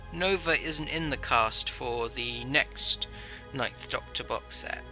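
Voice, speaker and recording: A man's voice: on the high side, sharp tone. A man giving a scripted review as voice-over narration. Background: faint music.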